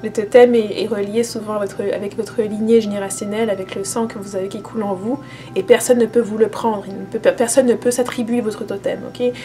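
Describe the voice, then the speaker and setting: A woman's voice: medium pitch, fairly even intonation, brisk, dark tone, faint music behind. A woman vlogger talking casually to camera as a spiritual teacher, hesitant, with many fillers and restarts.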